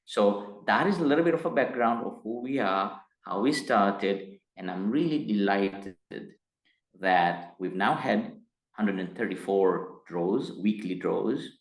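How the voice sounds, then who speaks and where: A middle-aged man from Tanzania, speaking as an interview guest in a measured, hesitant way that turns emphatic. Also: on the low side, animated. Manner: measured, hesitant with frequent uh fillers, grows emphatic. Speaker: guest, company executive. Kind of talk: interview conversation. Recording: roomy echo.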